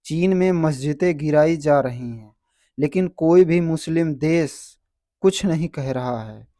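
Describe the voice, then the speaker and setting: A man's voice: a little high, a little slow, warm tone, no room sound, studio quality. A man delivering political commentary.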